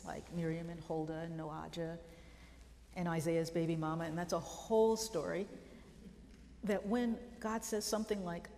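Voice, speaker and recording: A middle-aged woman giving a conference lecture on scripture, animated and emphatic as she builds lists. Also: lowish voice. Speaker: biblical scholar and lecturer. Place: church hall stage, large room. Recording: long hall reverb, faint room noise.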